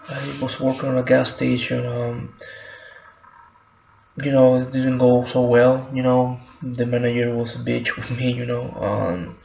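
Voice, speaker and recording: A man talks to his camera, groping for words and circling back over his phrases. His voice is gravelly and keeps to a narrow pitch. The recording is muffled and echoey, like a bare room at home. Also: darkish tone, a little hiss or hum. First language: Spanish. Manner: halting, many fillers and restarts. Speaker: vlogger. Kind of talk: personal reflective monologue.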